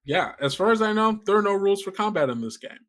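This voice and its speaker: A man's voice: sits high, lively intonation, fast, fairly bright tone. Chatty man hosting a tabletop game podcast. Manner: rambling, stammering, often chuckling. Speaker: host and game master of an actual-play podcast. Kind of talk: casual chat introducing a tabletop game.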